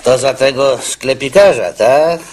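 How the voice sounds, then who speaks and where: An actor in a radio play playing a weary, matter-of-fact prison boss, patient and familiar while being official. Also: male voice, medium pitch, unhurried, darkish tone, slight background noise, lightly acted. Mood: weary, businesslike.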